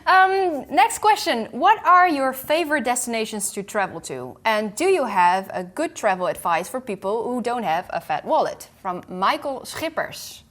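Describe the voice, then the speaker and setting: A woman interviewer reading viewer questions aloud, brisk, and naming who sent each one. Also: a little high, lively intonation, a bit bright.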